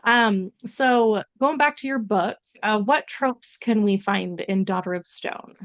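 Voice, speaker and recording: Bubbly woman podcast host, mid pitch, close and dry recording. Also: slow, fairly bright tone, no echo. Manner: upbeat, gushing. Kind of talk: casual interview chat.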